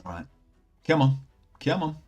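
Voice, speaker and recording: A chatty man talks through opening game packs at his desk, his voice a bit croaky from an oncoming cold. He rambles along with plenty of fillers, and soft music plays behind him. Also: on the high side, big pitch swings, normal speed. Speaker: gaming channel host. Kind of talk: casual commentary while opening game packs.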